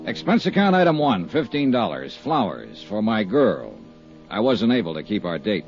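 Dry 1950s radio private eye, lively up-and-down delivery, muffled old broadcast sound. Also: male voice, fairly low pitch, normal speed, music in the background. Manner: dry, matter-of-fact narration. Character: brisk, wisecracking male insurance investigator. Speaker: insurance investigator and narrator. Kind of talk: 1950s radio drama acting.

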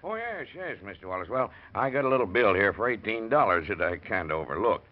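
Folksy, swooping-voiced garage mechanic, old radio. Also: male voice, lowish voice, big pitch swings, a little fast. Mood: hesitant. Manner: hesitant, repetitive, apologetic. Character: folksy village garage mechanic, earnest and dogged. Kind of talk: old-time radio drama acting. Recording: dull vintage radio recording.

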